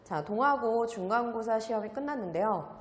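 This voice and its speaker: A woman's voice: fairly high pitch, animated, normal speed. A female math academy teacher explaining an exam's results to students, using frequent "uh" fillers. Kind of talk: exam analysis lecture. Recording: echoey room with long reverb.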